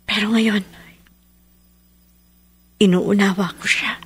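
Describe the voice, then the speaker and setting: A calm, understanding aunt in a radio horror drama. Her voice is husky and soft, and the sound is close and dry. She speaks in a measured, reassuring way. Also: medium pitch, dry recording, full character voice.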